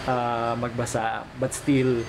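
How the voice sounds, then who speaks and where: A man's voice: mid-range voice, level tone, slow, noisy background. A man in his thirties with a Filipino accent, chatting casually as a father hosting a family vlog. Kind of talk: casual family vlog chat, mixing Tagalog and English. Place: small furnished living room. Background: background music.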